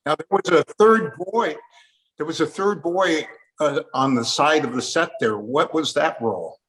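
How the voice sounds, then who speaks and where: A man's voice: a little high, measured pace, fairly bright tone, low-quality recording. An older man hosting a casual interview, chatting conversationally with his guests. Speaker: interview host.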